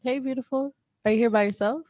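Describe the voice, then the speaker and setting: A woman's voice: fairly high pitch, fast, no room sound, muffled recording. A woman guest chatting playfully and flirtatiously in casual, slangy improvised role-play. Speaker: show guest playing pick-up role-play.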